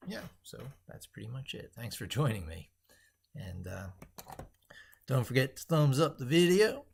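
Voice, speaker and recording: A man hosting a casual tech livestream, thinking aloud in a rambling, emphatic way with a gravelly, husky voice. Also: medium pitch, very animated, measured pace, a little mellow, a little hiss or hum. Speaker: livestream host.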